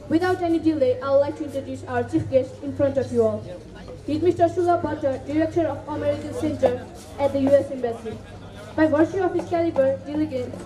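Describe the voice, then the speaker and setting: A woman's voice: high voice, warm tone. A young woman hosting a stage event, giving a formal, ceremonious scripted welcome speech. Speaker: event host. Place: school stage in a hall, audience behind. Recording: echoey hall, distant mic, crowd noise.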